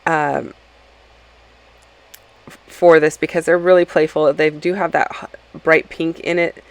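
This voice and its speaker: A woman's voice: sits low, level tone, unhurried. A woman narrating a scrapbooking process video in a rambling, chatty way, a little stuffy from a cold. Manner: rambling, chatty, many ums and self-corrections. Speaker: scrapbooking channel host. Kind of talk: casual process-video voice-over.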